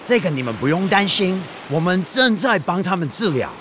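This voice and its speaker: Animated high-pitched missionary priest. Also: male voice, fairly quick, background noise. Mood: earnest, pleading. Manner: animated, pleading, mixes in English phrases. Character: earnest Dutch missionary priest, adult man, urgent and conciliatory. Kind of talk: radio drama acting. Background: music.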